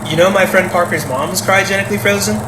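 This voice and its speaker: An actor plays an uneasy, doubtful grown child in a naturalistic audio play. The delivery is hesitant and conversational, with trailing restarts. It sounds roomy and echoey, over clear background noise like a steady hum. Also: medium pitch, quick pace, lightly acted. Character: uneasy, doubtful grown child who suspects dad of murder. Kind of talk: naturalistic audio drama dialogue.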